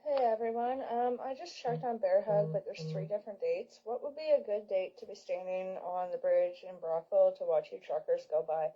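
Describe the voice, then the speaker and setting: A woman's voice: mid-range voice, moderate pace, few pauses, a little hiss or hum. A woman calling in to a live chat who asks questions and sounds a bit unsure. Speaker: caller. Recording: lo-fi online voice chat audio.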